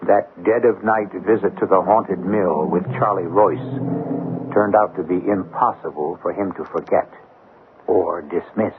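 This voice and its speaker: A man narrating a scripted radio mystery as host, in a measured, solemn and formal manner. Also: deep voice. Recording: slightly noisy, narrow-band vintage radio broadcast.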